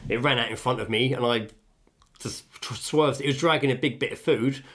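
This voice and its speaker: A man's voice: medium pitch, fairly quick, fairly bright tone. A man co-hosting a casual podcast from a kitchen, telling jokey stories and slipping into silly voices. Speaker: podcast co-host. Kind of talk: casual chat. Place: home kitchen.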